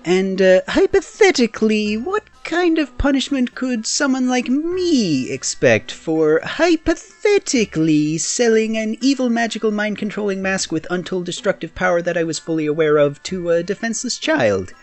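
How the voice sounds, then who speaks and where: A man voicing an evasive, excitable male mask salesman in a comic fan dub. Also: sits high, normal speed, few pauses. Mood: sly, probing. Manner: evasive, theatrical. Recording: background music.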